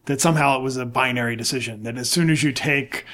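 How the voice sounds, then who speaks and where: Fast-talking, insistent man in casual debate. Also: mid-range voice, a bit bright. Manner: insistent, emphatic, repeats himself. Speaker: nonprofit director and podcast co-host. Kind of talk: casual debate, opinionated discussion.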